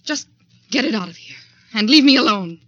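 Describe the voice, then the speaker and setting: A woman's voice: a little high, expressive, fairly quick, lightly acted. An actress with an American accent playing an anxious young career woman in a dramatic 1940s radio thriller. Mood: frightened, frantic. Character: anxious young career woman narrating her own haunting. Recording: dull 1940s radio recording with music.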